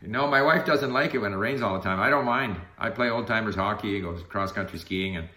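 Older man with a bright, echoey voice. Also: fairly low pitch, brisk. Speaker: language learner and YouTuber. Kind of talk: casual conversation.

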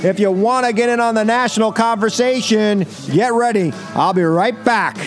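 A man's voice: high-pitched, normal speed, soft background music. A man hosting a political call-in radio show with casual, sarcastic, joking banter.

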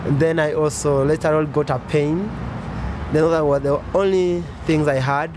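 A man's voice: on the high side, steady tone. Earnest young man, traffic behind. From Congo. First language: French. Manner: slow, earnest, searching for words. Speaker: interview guest. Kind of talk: personal testimony.

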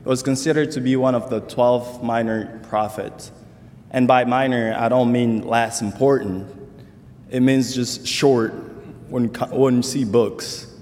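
A man from Congo giving an earnest church talk on scripture as a summer intern, speaking deliberately with some restarts. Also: medium pitch, steady tone, darkish tone. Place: church sanctuary. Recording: long hall reverb.

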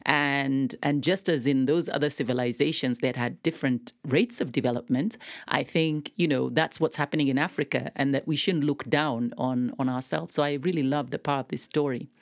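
Low-voiced woman hosting an interview. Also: no echo, clean studio sound. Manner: appreciative, reflective, halting with repeated phrases. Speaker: podcast host and interviewer. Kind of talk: conversational book interview.

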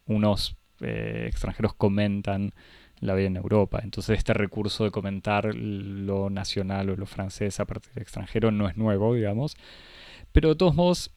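Low, even-toned man analyzing a film. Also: no echo. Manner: measured, analytical, light self-correction. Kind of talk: casual film discussion.

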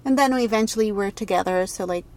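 A woman's voice: medium pitch, quick pace, darkish tone. Candid woman guest, chatty. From Egypt. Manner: candid, laughs often, many restarts and "like". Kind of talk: casual interview chat.

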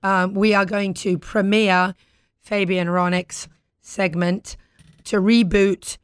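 A British woman hosting a talk show in casual, playful chat, with a husky voice recovering from being lost. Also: mid-range voice, little pitch movement, slow pace, no room sound. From Britain. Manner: playful, bantering.

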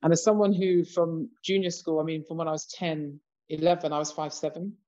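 A woman's voice: low voice, fairly even intonation, brisk, mellow, muffled recording. A British woman in her fifties talking reflectively in a casual conversation, with many "you know" asides. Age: 50s. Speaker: discussion participant.

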